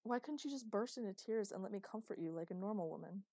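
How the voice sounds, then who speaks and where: A woman's voice: mid-range voice, animated, quick pace, warm tone, no room sound, clean studio sound. A woman reading a man's lines, a blunt and exasperated partner, in a storybook reading. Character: man, gruff worried partner.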